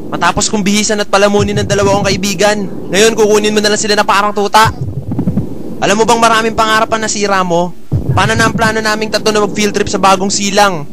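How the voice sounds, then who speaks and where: A man's voice: sits high, flat delivery, fast, background noise, natural voice. A man playing an overwrought, mock-tragic henpecked husband and blustering hero in an amateur comedic radio drama. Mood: outraged, defiant.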